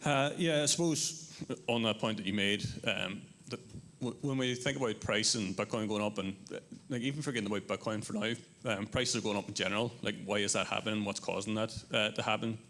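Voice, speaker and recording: A man's voice: on the low side, fairly quick, a bit bright. A man on a conference panel explaining his point step by step, with frequent filler phrases. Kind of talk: panel discussion.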